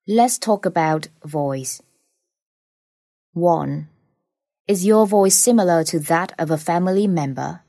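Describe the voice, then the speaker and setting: A woman reading out speaking-test questions as an examiner in a plain, measured way. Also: fairly low pitch, warm tone, dry recording, studio quality.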